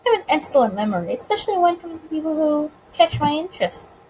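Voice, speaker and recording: A woman voicing a suave, playful male mobster in a visual novel, smooth, composed and teasing. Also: high-pitched, sing-song, quick pace, mellow, slight background noise, pitched above the performer's own voice, rough recording. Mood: charming. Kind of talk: visual novel character reading.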